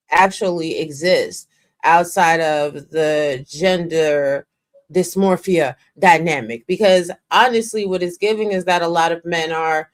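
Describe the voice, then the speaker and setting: A woman making her points with emphasis, drawing out her phrases and lecturing in a casual discussion. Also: lowish voice.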